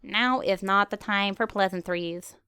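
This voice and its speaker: A woman's voice: mid-range voice, a little slow, pushed and bright, smooth, dry recording, clean studio sound. A woman voicing a regal pony princess who speaks in a stately, commanding, archaic manner. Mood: regal, insistent.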